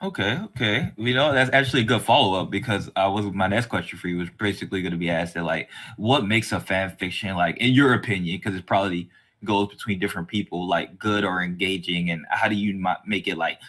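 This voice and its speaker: A man with a fairly low, bright and forward voice hosts a podcast interview. His delivery is casual and rambling, as he works his way around each point. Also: brisk.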